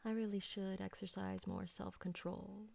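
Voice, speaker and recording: A woman playing an ancient, refined and doting female vampire in an intimate ASMR roleplay, soft-spoken and tender, with genteel, formal wording. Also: mid-range voice, steady tone, moderate pace, creaky. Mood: apologetic, wistful.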